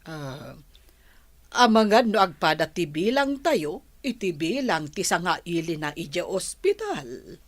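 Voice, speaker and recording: Woman as elderly village grandmother, Ilocano accent, unhurried, lively intonation, affectionate, radio drama. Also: lowish voice, dry recording, heavily acted. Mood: concerned, chatty.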